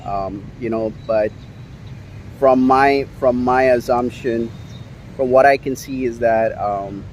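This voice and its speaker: A man's voice: medium pitch, slow pace, a little mellow, slight background noise. A man from India vlogging outdoors on a city street, rambling with many fillers as he complains about his day. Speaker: YouTuber. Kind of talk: casual vlog monologue. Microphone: handheld camera.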